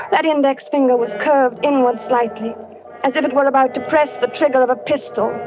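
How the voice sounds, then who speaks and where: An actress plays an anguished wife in an old radio thriller, her voice fairly high and intensely dramatic. She sounds haunted as she tells her story. The vintage recording is dull, with music. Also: natural voice. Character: anguished wife haunted by her husband's fatal dreams, narrating. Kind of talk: old-time radio suspense acting, first-person narration.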